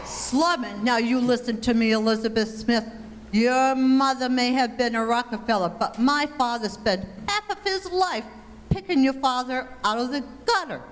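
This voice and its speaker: Wry, practical sitcom mother, older female amateur, slightly high voice, faint noise behind. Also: moderate pace, close to an everyday voice, low-quality recording. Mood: protective. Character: wry, sensible middle-aged wife and mother. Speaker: amateur actor in a senior theater class. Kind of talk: old-time radio comedy acting.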